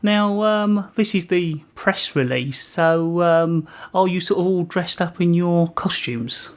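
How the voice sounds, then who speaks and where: A man hosting an informal community radio interview, asking questions in a hesitant, halting way. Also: sits high.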